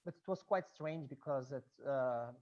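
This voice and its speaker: Portuguese accent, native portuguese, kinda halting and repeating words, informal online chat, muffled lofi call. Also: medium pitch, darkish tone. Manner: hesitant, halting with repetitions. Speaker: audience member, student researching Blake and music. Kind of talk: informal remarks in an online discussion.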